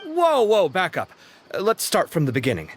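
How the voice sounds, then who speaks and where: A man voicing a young, expressive and somewhat fussy man in a video game, lively and talkative. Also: high voice, moderate pace, slight background noise. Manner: lively, chatty and a little flustered. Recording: reverberant game scene with music.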